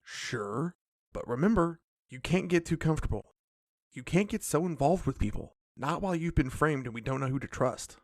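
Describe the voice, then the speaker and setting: An actor playing a cautious, nervous magical companion in an audio drama, creaky and admonishing. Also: medium pitch, expressive, moderate pace, dry recording, studio quality, natural voice. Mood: stern, wary. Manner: wary, admonishing.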